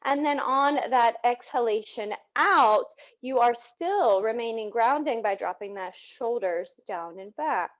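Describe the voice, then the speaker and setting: Female yoga teacher, slightly high voice, gentle unhurried guided-breathing delivery, muffled lo-fi audio. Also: animated. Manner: soothing, gentle, unhurried phrasing. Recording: dull, muffled lo-fi sound.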